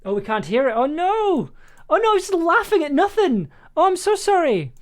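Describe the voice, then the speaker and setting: A woman's voice: very high voice, very animated, normal speed. A woman chatting casually on a livestream as she edits, thinking aloud in a giggly, self-deprecating way. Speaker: audio drama producer and streamer. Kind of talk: casual livestream chat while editing.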